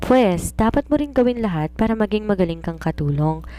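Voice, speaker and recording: A woman's voice: medium pitch, lively intonation, fairly quick, warm tone, natural voice. A woman voicing a confident romance writer in an audiobook, giving earnest, instructive advice like a mentor. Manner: earnest, instructive, self-assured. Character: confident, preachy woman romance writer advising her maid. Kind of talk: audiobook character dialogue.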